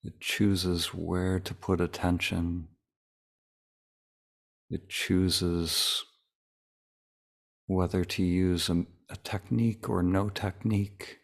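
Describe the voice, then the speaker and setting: Man, guided meditation, even tone, unhurried slow delivery, calm, short phrases with long pauses. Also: deep voice, gravelly. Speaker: meditation teacher leading a guided meditation.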